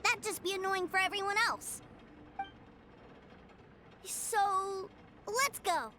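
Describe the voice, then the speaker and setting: A woman's voice: extremely high pitch, slow, bright, forceful tone, slight background noise, heavily acted. A woman voicing a small, innocent boy in a video game, childlike, earnest and wide-eyed, an adoring little brother.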